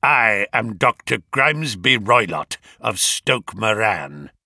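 A man's voice: lowish voice, big pitch swings, slow pace, gravelly, pushed and bright, slightly rough, no room sound, clean studio sound, full character voice. Old brute of a villain played by a man: irate, threatening, snarly, very dynamic delivery for an audiobook. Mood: menacing. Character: furious, menacing, huge old male villain, a doctor.

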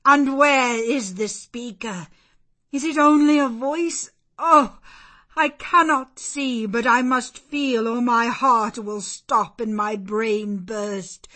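A woman voicing a blind, brooding middle-aged gentleman in a story reading, with imperious and impassioned demands. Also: medium pitch.

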